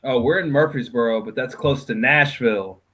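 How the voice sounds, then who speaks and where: A podcast guest man chatting excitedly, often calling others bro. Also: medium pitch, moderate pace, breathy edge. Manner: excitable, says bro a lot. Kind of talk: casual podcast chat.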